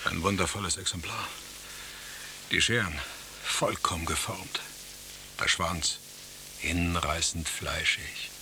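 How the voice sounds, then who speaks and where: An actor playing a flamboyant, self-intoxicated crayfish catcher, pompous and full of flowery flattery, in a stylised German radio play. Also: male voice, sits low, takes it slowly, pauses often, slight background noise, heavily acted. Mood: sensual, admiring.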